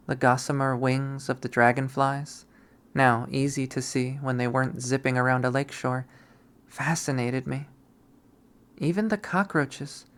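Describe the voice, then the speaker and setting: A person reading a visual novel aloud as a dramatic, expressive narration, acting out the story. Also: medium pitch. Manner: expressive, acts out the characters.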